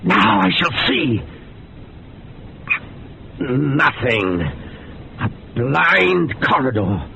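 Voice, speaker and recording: High-strung, wildly swooping obsessed scientist. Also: male voice, extremely slow, gravelly, pauses often, a little hiss or hum, heavily acted. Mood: demanding. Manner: excitable, urgent, imperious. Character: obsessed, overwrought male scientist commanding his research subjects. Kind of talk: dramatic radio horror acting.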